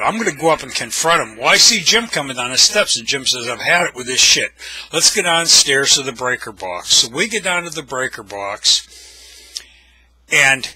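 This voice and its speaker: A man's voice: on the high side, sharp tone, husky, no room sound, low-quality recording. An older man with an American accent, telling anecdotes casually in a blunt, wry, salty way. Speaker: storyteller, video blogger.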